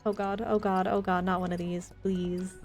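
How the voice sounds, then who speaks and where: A woman's voice: lowish voice, little pitch movement, moderate pace, darkish tone, faint music behind. A woman chatting informally and playfully with viewers while she streams a video game. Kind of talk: casual live gaming chat with viewers.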